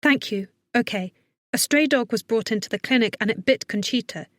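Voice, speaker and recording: A woman's voice: fairly high pitch, brisk, dry recording, natural voice. Woman acting a firm, capable woman under pressure. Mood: brisk, urgent. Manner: urgent, firm, reassuring. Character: worried, capable woman vet giving brisk, firm instructions. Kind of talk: audiobook character acting.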